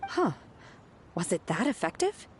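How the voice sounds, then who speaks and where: An actress playing a calm, aloof young woman in a video game, speaking in a measured, formal, matter-of-fact deadpan. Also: medium pitch, a little hiss or hum. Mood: mildly satisfied. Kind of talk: video game dialogue acting.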